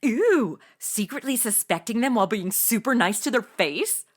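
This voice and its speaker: A woman's voice: a little high, expressive, normal speed. An actress playing a brash, nosy, flippant young modern woman in an audio comedy, talking in casual, sassy slang. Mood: flippant, gossipy. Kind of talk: comedy audio drama acting.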